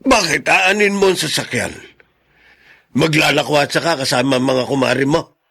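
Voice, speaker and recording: A worn out old dad for radio drama, creaky voice, pleading and emotional. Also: male voice, on the high side, moderate pace, bright, forceful tone, heavily acted. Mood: angry, pleading.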